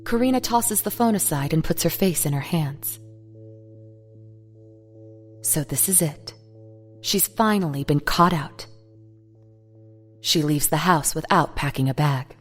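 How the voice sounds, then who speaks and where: A woman's voice: deep voice, unhurried, long pauses, soft background music. A woman narrator reading a scripted, dramatic story.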